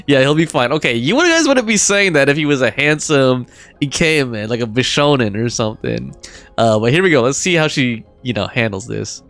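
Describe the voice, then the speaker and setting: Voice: mid-range voice, animated, moderate pace, fairly bright tone. A game streamer chatting and reacting casually on a livestream, slangy and informal. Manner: slangy, informal, reading chat aloud. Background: game music.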